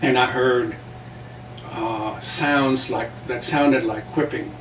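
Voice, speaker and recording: An older man from South Texas whose first language is Spanish, telling stories from his career in an unhurried, reflective way. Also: medium pitch, fairly even intonation. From South Texas, USA. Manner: unhurried, reflective, with small chuckles. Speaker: guest, journalist and playwright. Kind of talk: relaxed storytelling interview. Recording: roomy, distant mic with faint background noise.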